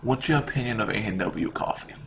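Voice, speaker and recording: A man's voice: on the low side, fairly quick, creaky, a bit bright. A man hosting a podcast in a casual, conversational style. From Canada. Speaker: podcast host. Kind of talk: casual chat. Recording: slight background noise.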